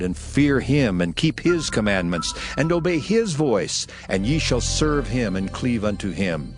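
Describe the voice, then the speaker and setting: A man playing a solemn lawgiver preaching commandments, declaiming in a dramatized scripture reading with music behind him. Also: lowish voice. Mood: stern, commanding. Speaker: scripture narrator.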